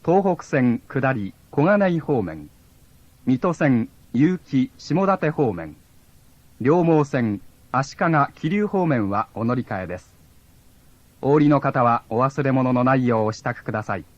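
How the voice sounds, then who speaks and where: A man's voice: medium pitch, animated, moderate pace, dry recording. A man reading scripted onboard train announcements in a formal, polite way. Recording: dull lo-fi old cassette tape.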